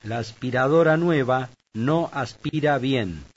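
A man's voice: mid-range voice, no room sound. Man reading a Spanish lesson, lo-fi tape. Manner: slow, clear read-aloud delivery. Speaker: language course speaker. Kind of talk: scripted language lesson reading. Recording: dull lo-fi tape audio.

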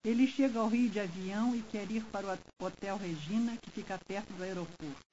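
Measured female drill reader, muffled hissy tape. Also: mid-range voice, mellow. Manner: measured, clearly enunciated. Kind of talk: scripted language-lesson reading.

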